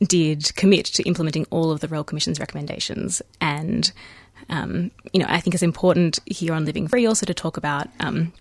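Low-voiced Australian woman explaining. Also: vocal fry, dry recording. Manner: measured, explanatory, frequent ums. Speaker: guest. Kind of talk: radio interview. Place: radio studio.

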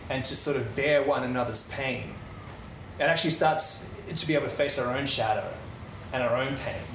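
A man who grew up in the Netherlands speaks to a small group in a passionate, rambling way, restarting his sentences often. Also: mid-range voice. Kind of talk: earnest unscripted talk. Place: room with a live audience. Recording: roomy, distant mic, noisy.

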